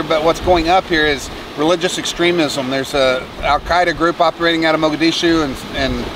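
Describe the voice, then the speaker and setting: A man's voice: a little high, recorded from a distance. A man in his fifties talks casually and matter-of-factly to camera on the deck of a sailboat at sea, with some restarts. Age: 50s. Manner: matter-of-fact, with restarts and ums. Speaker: sailing vlogger, father. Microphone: camera a few feet away. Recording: outdoor camera mic at sea, wind and waves.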